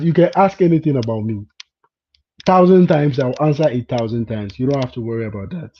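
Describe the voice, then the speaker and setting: A man's voice: on the high side, dark tone, a little hiss or hum. A man from Ghana chatting live with viewers, repeating words and often asking if they understand. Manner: keeps checking in with "you understand?". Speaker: vlogger hosting a live chat. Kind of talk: casual live-stream chat with viewers. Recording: dull lo-fi sound.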